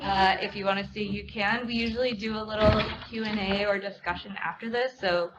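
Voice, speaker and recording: A woman's voice: medium pitch, fairly even intonation, brisk, a crowd in the background. A woman presenting and reading aloud at a live indoor event. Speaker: presenter and reader at library event. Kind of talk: live dramatic reading of memoir. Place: indoor event before an audience. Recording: dull live recording, noticeable room noise.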